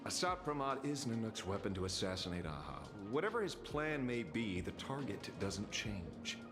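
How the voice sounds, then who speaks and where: A man playing a self-assured, dry and direct tough-guy detective in a video game, speaking deliberately and theatrically. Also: on the low side, very animated, over music. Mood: confident. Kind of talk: video game story voice acting.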